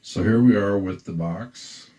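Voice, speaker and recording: A man giving a casual, halting gear demo, thinking aloud as a musician at work. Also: on the low side, dark tone. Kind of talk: casual product demo talk.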